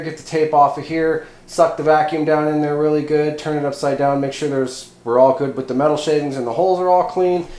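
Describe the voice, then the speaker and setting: In a roomy, echoing workspace with clear noise behind him, a man talks through a hands-on job. He has a mid-pitched voice and an informal, folksy delivery, as if thinking out loud while he works. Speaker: DIY electronics video host. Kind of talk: casual hands-on workshop narration. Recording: roomy echo, clear background noise, clicks.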